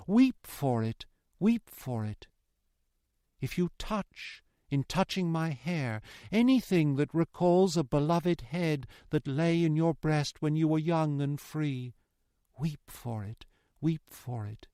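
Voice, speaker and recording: Man voicing a pleading, devoted young daughter, higher-pitched. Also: dark tone, dry recording, studio quality. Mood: tearful, earnest. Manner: impassioned, pleading, repetitive cadences. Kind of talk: dramatic audiobook character reading.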